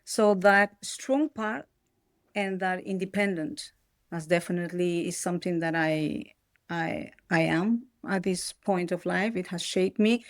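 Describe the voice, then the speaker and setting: A woman's voice: lowish voice, gravelly, dry recording, studio quality. A middle-aged woman from Peru, raised in the Netherlands, speaking as an as a podcast guest, reflective and often restarting her sentences. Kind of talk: reflective personal interview.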